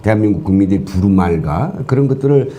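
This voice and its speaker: A man's voice: on the low side, expressive, quick pace, mellow, a little hiss or hum. A man from Korea, a former professor giving earnest, lecture-like explanations as a talk show guest. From North Korea.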